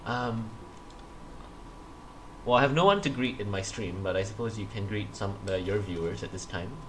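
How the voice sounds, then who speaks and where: A man's voice: lowish voice, normal speed, slight background noise, low-quality recording. A man co-hosting a casual gaming livestream, groggy from just waking up, who laughs often and restarts his sentences. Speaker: streamer co-host.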